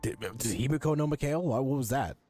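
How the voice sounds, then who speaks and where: A man's voice: mid-range voice, expressive, a little fast, a little mellow, faint music behind. A man streaming a game, reacting casually in blurted, slangy, sweary bursts.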